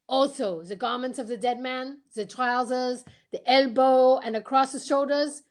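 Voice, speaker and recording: A woman playing a pompous, courteous male detective, formal and deliberate, in old-time radio mystery style. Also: high voice, fairly bright tone. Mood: explaining proudly. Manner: formal, deliberate, theatrical questioning.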